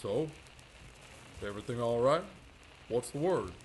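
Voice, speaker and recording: An actor playing a brisk, plain-spoken, folksy railyard foreman in an old-time radio drama. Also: medium pitch, animated, heavy background noise, natural voice. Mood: puzzled.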